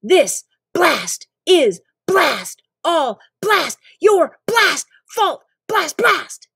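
A woman's voice: very high-pitched, sing-song, drawn-out words, vocal fry, fairly bright tone, dry recording, studio quality, pitched above the performer's own voice, full character voice. A woman voicing a frustrated American schoolboy in a storybook, with emphatic, exasperated delivery. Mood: angry, exasperated. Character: frustrated boy narrator, schoolkid trapped in a video game.

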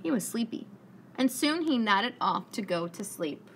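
A woman preschool teacher reading a picture book aloud to young children with expressive storytelling. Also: fairly high pitch. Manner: expressive storytelling, pausing to ask the children questions. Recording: clearly noisy room recording.

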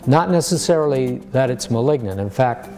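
An American man in his sixties, a surgeon explaining medicine to a lay audience in a measured, plain-spoken way. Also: medium pitch, lively intonation, darkish tone, faint music behind. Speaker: surgeon giving a public lecture. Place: medium-sized lecture room.

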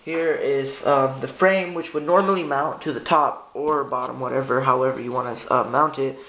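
A man's voice: mid-range voice, steady tone, moderate pace, fairly bright tone. A man giving a casual, unscripted gear walkthrough in a hesitant, informal way, often correcting himself, recorded on a handheld camera indoors. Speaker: hobbyist demonstrating a radio. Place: indoor room by a window. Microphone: handheld camera's built-in microphone. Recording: noisy amateur camera audio with clicks.

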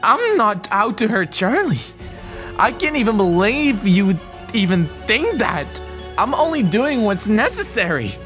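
A woman's voice: medium pitch, very animated, unhurried, a bit bright. A woman voicing a stern, commanding female queen of hell in a fan comic dub. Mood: defensive. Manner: cold, commanding, terse. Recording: faint music behind.

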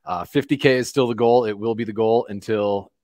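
A man chatting casually on a video call through a headset, relaxed and a little hesitant. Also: on the low side, a little fast, no echo. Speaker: guest panelist. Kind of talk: casual chat.

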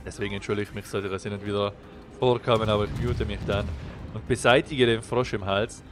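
A man's voice: on the low side, steady tone, fairly quick, over music. A young man with a slight cold, explaining a naval game replay as he commentates over it, getting livelier when the action starts. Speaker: gameplay commentator.

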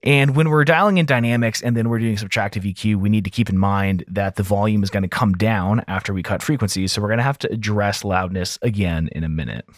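A man talking informally and thinking aloud as an audio engineer in a software tutorial voice-over. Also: fairly low pitch, fast talker, few pauses, no room sound, studio quality.

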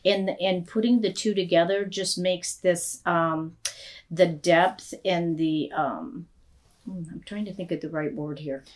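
An older woman presents items on a shopping show. Her voice is lowish for a woman and soft. She hesitates often and fills pauses with ums, recorded on a lapel mic in a studio. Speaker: shop presenter. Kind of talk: casual product chat. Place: studio set with bookshelves.

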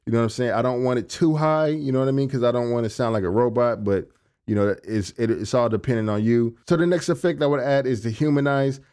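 A young man with a low voice, casually walking through his vocal recording setup in his home studio, speaking into a studio condenser mic. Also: fast. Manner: relaxed, conversational, many fillers like "you know what I'm saying". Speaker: music producer and engineer. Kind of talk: casual tutorial walkthrough. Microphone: large studio condenser on a stand.

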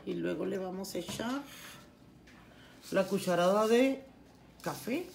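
A middle-aged woman explaining a recipe step by step in her home kitchen, unhurried and plain, with frequent restarts. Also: mid-range voice, lively intonation, gravelly, a little mellow, a little hiss or hum. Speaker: home cooking channel host. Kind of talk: casual cooking tutorial.

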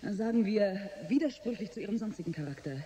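An actress playing an earnest ship's medical officer in a German science-fiction radio drama, measured and matter-of-fact. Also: female voice, mid-range voice, lively intonation, warm tone, lightly acted. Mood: concerned. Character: earnest female ship's medical officer reporting to her captain.

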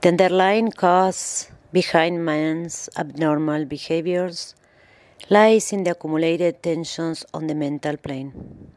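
A woman yoga instructor narrating a guided meditation in measured, instructional phrases with pauses. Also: sits low, steady tone, a little mellow, dry recording.

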